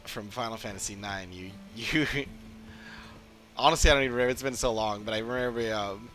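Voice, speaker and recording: A man chatting casually as a game streamer, rambling and halting as he talks. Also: on the low side, fairly bright tone. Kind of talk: casual livestream chat. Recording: game music behind the voice. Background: video game music.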